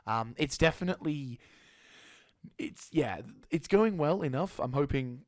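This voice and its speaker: Slightly hoarse man rambling through an update. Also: a little high, expressive, no room sound, clean studio sound. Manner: rambling, halting with frequent restarts. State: slightly hoarse, recovering throat. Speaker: gaming YouTuber. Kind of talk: casual channel update.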